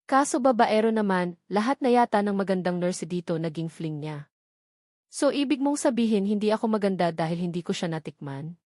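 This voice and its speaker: A woman's voice: medium pitch, animated, a little fast, darkish tone, dry recording, clean studio sound, lightly acted. A woman voicing a giddy, lovestruck female nurse who gushes and gossips in a story. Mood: giddy, gossipy. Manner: gushing. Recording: music playing behind the voice.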